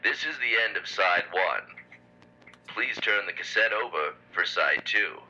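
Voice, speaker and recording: A man playing a grave, pulpy cassette audiobook narrator with a husky voice and mock-serious scripted delivery. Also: sits low, lively intonation, measured pace, pushed and bright, exaggerated voice. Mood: ominous, grave. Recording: dull lo-fi cassette sound.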